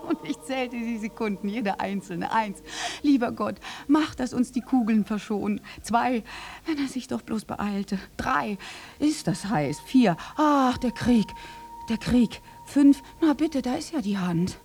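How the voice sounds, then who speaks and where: An actress playing a war-scarred, lovestruck young prostitute in a German radio drama monologue, speaking intimately and haltingly between breathy hush and intensity. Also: female voice, on the high side. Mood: feverish, tense.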